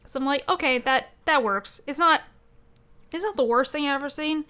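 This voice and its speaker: Higher-voiced woman in casual podcast chat. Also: normal speed, sharp tone. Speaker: podcast co-host.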